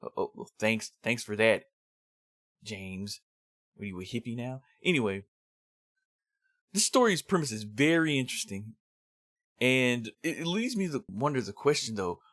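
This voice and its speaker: A man's voice: medium pitch, dry recording, clean studio sound. A man presenting a review in semi-scripted narration with casual, rambling asides. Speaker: host of a manga review series.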